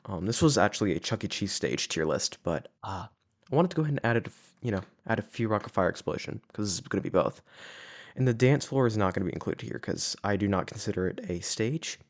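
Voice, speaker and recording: A man's voice: lowish voice, animated, fast talker. A young man hosting his own channel, chatting casually through a tier list with a sore throat, often trailing into "uh" and "you know.". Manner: rambling, with many "uh"s and "you know"s. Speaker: YouTube host ranking animatronic stages.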